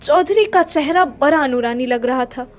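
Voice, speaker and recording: A woman's voice: sits high, lively intonation, moderate pace. A woman narrator reading a literary short story in a dramatic storytelling style. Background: faint music.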